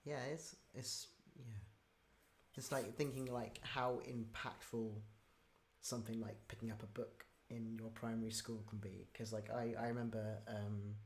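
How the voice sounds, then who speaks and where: A man's voice: on the low side, flat delivery, moderate pace. A man hosting an interview in a casual, chatty way, using loose, informal phrasing. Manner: informal, peppers talk with "like". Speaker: interviewer, host. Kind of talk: casual chat.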